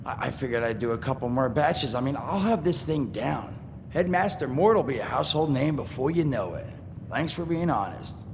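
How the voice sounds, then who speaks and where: An actor playing an eager, casual small-time instructor in a video game, chatty, a little hesitant and hoping for approval. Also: male voice, expressive, normal speed, vocal fry. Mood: eager, friendly. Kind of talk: video game character dialogue. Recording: long reverb with rumbling noise.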